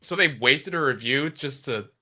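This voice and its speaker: A man's voice: medium pitch, animated, normal speed, bright, forceful tone. A man co-commentating in casual sports booth conversation.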